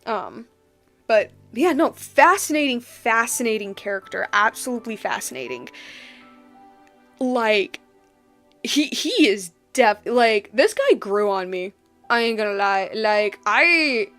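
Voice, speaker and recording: A woman with a fairly high voice talks casually as a game streamer, with faint music behind her. She rambles through unscripted commentary, leaning hard on key words and repeating phrases for emphasis. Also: sing-song, unhurried, fairly bright tone.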